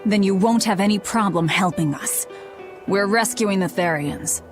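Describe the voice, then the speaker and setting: A woman's voice: medium pitch, normal speed, music in the background, natural voice. An actress voicing a grim, vengeful young woman warrior in game dialogue, cold, curt and resolute. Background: game score.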